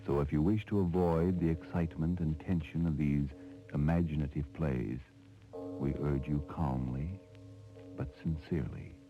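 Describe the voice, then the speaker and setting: An actor playing a calm, grave, ominous announcer introducing an old radio thriller. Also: male voice, very deep voice, normal speed. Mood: ominous, solemn. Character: ominous, solemn male horror show announcer. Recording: dull old radio recording with music.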